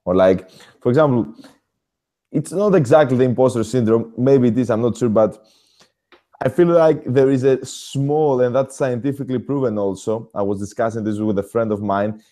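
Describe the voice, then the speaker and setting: A man with a Greek accent, a native Greek speaker, hosting a casual, animated conversation. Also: mid-range voice, moderate pace. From Greece. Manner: animated, swears casually, restarts sentences. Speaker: host, motivational speaker.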